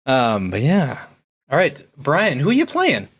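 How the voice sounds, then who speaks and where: A woman's voice: extremely low pitch, huge pitch swings, measured pace, slightly rough. A woman co-hosting a stream in casual, easygoing chat, moderating the group with short remarks. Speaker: co-host running the stream.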